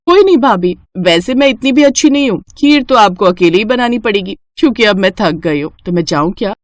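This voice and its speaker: A woman's voice: mid-range voice, lively intonation, fairly quick, no room sound, natural voice. Teasing young sister-in-law voiced by a woman: chirpy, informal, playful storybook dialogue, recorded dry. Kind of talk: acted Hindi family storybook dialogue.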